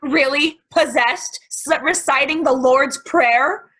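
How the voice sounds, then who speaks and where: A woman acts out a panicked, devout female accomplice wracked with guilt. Her voice is very high. She speaks with agitated emphasis, in the manner of stage drama. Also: slow, a bit bright, poor audio. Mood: cold, hurt. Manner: agitated, emphatic, repeating herself. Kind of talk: dramatic stage play reading.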